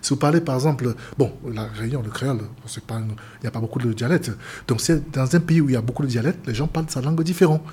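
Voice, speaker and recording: Husky-voiced pastor guy from cameroon, earnest, keeps restarting his words. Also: mid-range voice, quick pace, warm tone. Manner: earnest, repetitive, stumbles and restarts. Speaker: seamen's chaplain, pastor. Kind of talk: radio interview guest.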